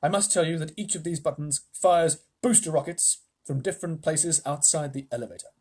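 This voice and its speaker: A reader voicing an excitable, eccentric male chocolatier who lectures grandly, with a husky edge. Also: medium pitch, brisk, close to an everyday voice. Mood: showy. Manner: bouncy, theatrical, instructive.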